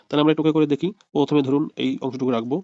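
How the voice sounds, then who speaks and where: A man's voice: fairly high pitch, steady tone, rapid-fire, dry recording, lo-fi sound. A man narrating a screen tutorial as an instructor, explaining step by step and repeating his points. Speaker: video editing instructor.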